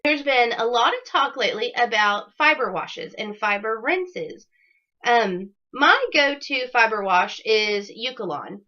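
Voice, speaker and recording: A woman with a somewhat high voice hosts a casual knitting podcast, chatty and upbeat with plenty of ums. She sounds a little raspy from a cold. The audio is dull and lo-fi. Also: expressive, unhurried, pushed and bright. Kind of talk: casual video podcast chat.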